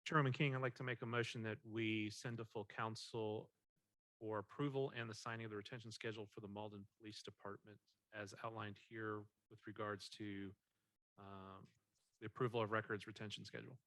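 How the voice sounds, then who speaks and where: A man's voice: on the low side, little pitch movement, brisk, fairly bright tone, no echo, rough recording. A male council member making a formal motion in a committee meeting. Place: city hall meeting chamber.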